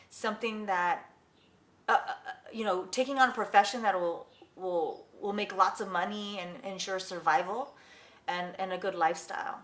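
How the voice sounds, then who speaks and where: A woman's voice: mid-range voice, fairly bright tone. A woman in her forties, born in Vietnam and raised in Los Angeles, reflecting thoughtfully in an oral history interview as an artist. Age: 40s. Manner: thoughtful, with frequent restarts. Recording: echoey room, faint background noise.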